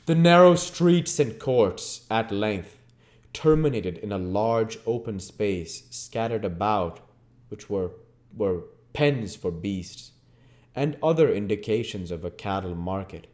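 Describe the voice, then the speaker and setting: A man reading a classic novel aloud as a dramatic, emphatic narrator. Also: fairly low pitch. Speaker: audiobook reader.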